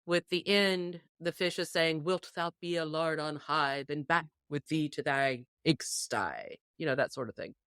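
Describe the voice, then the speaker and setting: A woman podcast panelist casually discussing a folk tale, telling it in an expressive storytelling way. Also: low voice, a little slow, hardly any pauses, clear, resonant tone, dry recording, studio quality.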